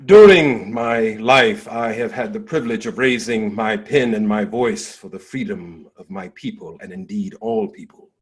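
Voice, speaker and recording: A man performing a dramatic monologue as a dignified, impassioned nineteenth-century abolitionist orator, declaiming in swelling and hushed phrases with deliberate pauses. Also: fairly low pitch, husky. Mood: solemn, resolute.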